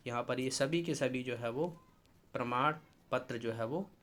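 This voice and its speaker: A man's voice: medium pitch, a little slow, fairly bright tone. A man from India explains a notice informally as an exam-preparation teacher, instructive and repetitive, mixing in English technical terms.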